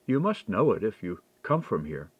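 A man's voice: lowish voice, sing-song, normal speed, slightly rough, no echo, full character voice. A man reading audiobook dialogue as a candid, shrewd clerk who was once a monk. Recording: dull, lo-fi dry recording.

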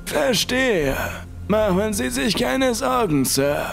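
A soft-spoken priest, put on by a male narrator, pitched well above his normal voice and speaking very slowly. His voice is gravelly with creak, and faint music and rain sit behind him. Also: big pitch swings, heavily acted. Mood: polite.

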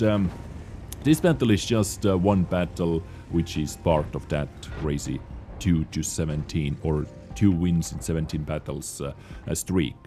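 A young man with a low, mellow voice talks over gameplay in chatty, animated English that is not his first language. He keeps addressing his viewers directly as ladies and gentlemen. Soft music plays faintly underneath. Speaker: gaming video host. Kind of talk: gameplay commentary.

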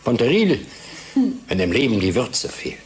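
An actor playing a gloomy, disillusioned husband in a German radio play, speaking in an emphatic, brooding way. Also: male voice, mid-range voice, big pitch swings, measured pace, faint music behind. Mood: agitated, bitter. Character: disillusioned middle-class husband, agitated and gloomy. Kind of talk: radio drama acting.

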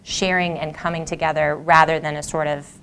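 A woman panelist in measured, collaborative tones adds a point to the discussion. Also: deep voice, flat delivery, a bit bright, smooth.

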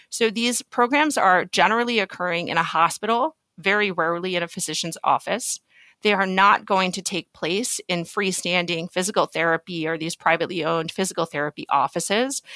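A woman speaking as a physician expert in an interview, explaining things in a measured, organized way. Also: on the low side, pushed and bright, no room sound. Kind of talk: podcast interview, explaining clinical guidelines.

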